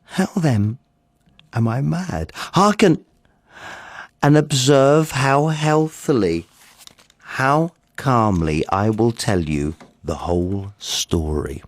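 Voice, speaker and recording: A man performing a nervous, insane murderer confessing in a dramatic Gothic story reading, theatrical and shifting between hushed and excited delivery. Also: medium pitch, slow pace, dry recording, voice pushed up. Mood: manic, insistent. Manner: theatrical, drops into breathy whispers, swings to excited outbursts. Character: nervous madman murderer confessing, insisting on his sanity.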